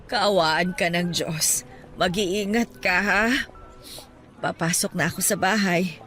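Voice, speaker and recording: A woman playing an elderly grieving mother in a radio drama, speaking softly and tremulously. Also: on the low side, steady tone, takes it slowly, soft background music. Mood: warm. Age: older.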